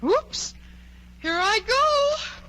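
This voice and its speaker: A woman's voice: very high voice, sing-song, very slow, bright, forceful tone, a little hiss or hum, heavily acted, poor audio. A woman playing a curious, polite but outspoken young girl in a radio play, prim and chatty. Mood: alarmed. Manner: prim, chatty, thinking aloud. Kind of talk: radio play acting of a children's story.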